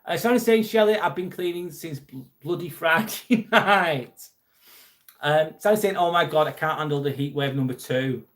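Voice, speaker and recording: A man with an English accent hosts a live stream, reading viewers' comments aloud in a loose, chatty way. His voice sits a touch higher than most men's. He jokes along, with a mild edge of irritation when talking about a troll. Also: moderate pace, fairly bright tone. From England.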